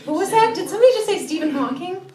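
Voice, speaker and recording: A high-voiced woman chats informally from a cabaret stage, joking as she hosts. Her voice echoes through the room, picked up from a distance with audience sound around it. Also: animated, rapid-fire, crowd noise. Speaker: cabaret singer and host. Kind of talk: casual stage banter between songs. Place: cabaret theatre stage, indoors. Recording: live stage recording, distant mic, roomy, audience noise.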